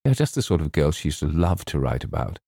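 Man acting a stiff, scornful deep-voiced writer. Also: sing-song, fast talker, mellow, dry recording, studio quality, full character voice. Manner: cold, stern, clipped disdain. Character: stiff, scornful male detective-story writer.

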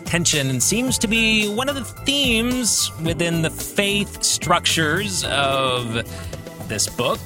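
A man hosting a podcast, giving reflective book club commentary in a deliberate way and putting rhetorical questions to the listener. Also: high voice, very animated, over music. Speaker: podcast host and author.

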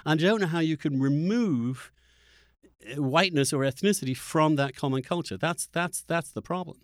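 Halting man in a dry, close recording. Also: fairly high pitch, dry recording. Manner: halting, repeats words while arguing a point. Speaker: podcast host. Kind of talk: conversational interview discussion.

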